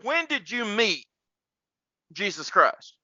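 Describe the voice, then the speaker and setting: High-pitched, bright-voiced man, emphatic talker. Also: sing-song, slow pace, no echo, muffled recording. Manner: rambling, emphatic, repeats words for emphasis. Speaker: podcast host and preacher. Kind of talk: casual faith conversation.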